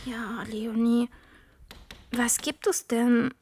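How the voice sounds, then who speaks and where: A woman playing a sensible, thoughtful young girl in a children's audio drama, reasoning in a measured manner. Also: on the high side, level tone, noisy background. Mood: quiet, reluctant.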